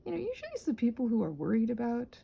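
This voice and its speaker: A woman in a casual, rambling ASMR vlog, soft-spoken and self-deprecating, thinking aloud in a meandering way and sometimes dropping toward a whisper. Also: a little high, wildly swooping pitch, rapid-fire, gravelly, husky, highs cut off. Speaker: ASMR creator rambling while crafting.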